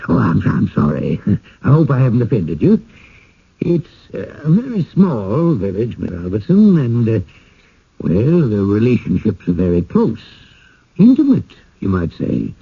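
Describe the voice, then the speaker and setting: Fussy, husky genial village gent. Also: male voice, mid-range voice, huge pitch swings, a little slow, exaggerated voice. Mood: hesitant, flustered. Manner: hesitant, apologetic, courteous, stammering. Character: fussy, genial widowed village man screening newcomers. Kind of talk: 1980s radio drama acting. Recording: muffled old radio broadcast.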